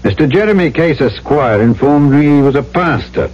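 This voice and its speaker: Radio drama lead for a male actor: brash trader, blunt, animated, mid pitch, dull old broadcast sound. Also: normal speed, natural voice. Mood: insistent. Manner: blunt, emphatic. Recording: muffled, faintly noisy old radio broadcast.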